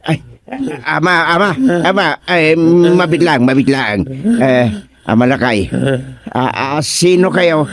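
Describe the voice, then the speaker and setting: A man's voice: a little high, animated, a little slow, crowd noise. Flustered, stammering comic village man. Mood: alarmed. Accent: Ilocano. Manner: stammering, repetitive, exclaiming. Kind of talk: Ilocano radio comedy drama acting.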